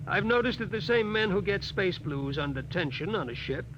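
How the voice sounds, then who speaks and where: An actor playing a thoughtful, opinionated ship's doctor, explaining things conversationally in 1950s radio drama style. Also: male voice, high-pitched, expressive, moderate pace. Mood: thoughtful, concerned. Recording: muffled, noisy vintage radio recording.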